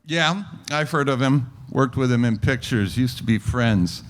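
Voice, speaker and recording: A man's voice: mid-range voice, a little slow, natural voice. A man playing an evasive, bitter washed-up film actor in an old-time radio crime drama. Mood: wary, defensive. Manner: wary, defensive, bitter grumbling.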